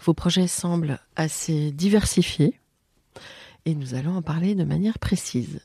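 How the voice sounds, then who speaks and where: A woman's voice: low voice, unhurried, warm tone, slightly rough, no echo, clean studio sound. A French woman hosting an interview podcast, asking questions with frequent hesitations. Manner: hesitant, many fillers. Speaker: podcast host.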